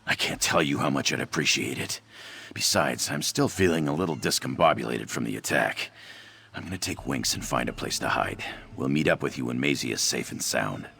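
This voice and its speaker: A man voicing an earnest adventurer devoted to his cat in a video game, with a deep, gravelly voice. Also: animated, brisk, sharp tone, exaggerated voice.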